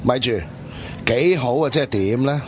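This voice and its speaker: A man's voice: medium pitch, normal speed, slight background noise, natural voice. A man playing an easygoing young friend in casual Cantonese radio drama dialogue. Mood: teasing, exasperated.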